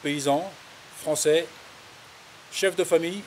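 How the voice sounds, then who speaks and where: A man's voice: on the high side, steady tone, slow. A man in his fifties from the Paris suburbs, casually recounting his life in a hesitant, rambling, colloquial way. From Paris suburbs, France. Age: 50s. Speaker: interviewee, farmer. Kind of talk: casual autobiographical interview, recounting anecdotes. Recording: clear background hiss.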